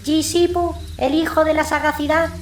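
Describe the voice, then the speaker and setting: A woman's voice: high voice, level tone, normal speed, lightly acted. A woman voicing a giant owl messenger, solemn and deferential, with formal, ceremonious speech in an audiobook. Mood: solemn, explanatory. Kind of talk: audiobook character acting. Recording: roomy echo, noisy background.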